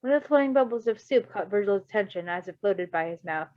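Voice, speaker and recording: A woman's voice: mid-range voice, animated, quick pace, dry recording, highs cut off. A woman reading fan fiction aloud as an amateur narrator, with casual commentary.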